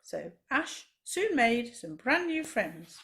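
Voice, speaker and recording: A woman's voice: a little high, big pitch swings, slow pace, gravelly, a bit bright. A woman reading a rhyming children's story expressively, with dramatic emphasis, as a library storyteller.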